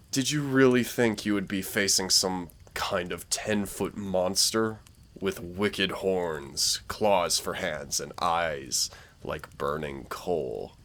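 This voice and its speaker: A man playing a smug, taunting dark warlord villain in a roleplay audio drama, speaking in a theatrical, dryly mocking way. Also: sits low, a little hiss or hum. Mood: amused, teasing. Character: dark warlord villain, younger-looking, sardonic, smug, taunting Lord of Nightmares. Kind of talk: roleplay audio drama, intimate scripted monologue.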